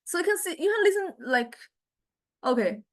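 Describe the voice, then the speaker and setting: A woman with a Chinese accent giving an informal software tutorial as a lecturer, speaking haltingly with repeated words and frequent checking tags like okay and right. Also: very high-pitched, big pitch swings. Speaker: university lecturer in music technology. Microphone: small condenser microphone.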